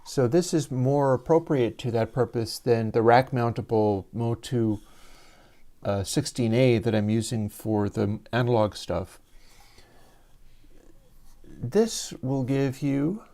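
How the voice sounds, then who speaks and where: A man hosting a casual livestream and explaining his audio gear step by step in a soft, soothing voice, talking into a lavalier mic. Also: medium pitch, slow pace. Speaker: livestream host and audio developer.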